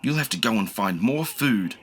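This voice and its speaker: A man's voice: lowish voice, animated, normal speed, a bit bright. A man voicing a stern, angry mother dinosaur in a children's storybook. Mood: scolding. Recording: clear noise with cricket ambience.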